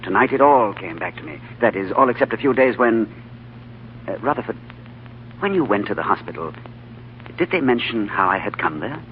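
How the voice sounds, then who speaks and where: An actor playing a troubled English gentleman, hesitant and intense, in 1940s radio drama style. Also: lowish voice, big pitch swings, normal speed, pauses often, slight background noise. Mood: troubled, searching. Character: troubled, haunted English gentleman recovering from amnesia. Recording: tinny old radio broadcast, faint music.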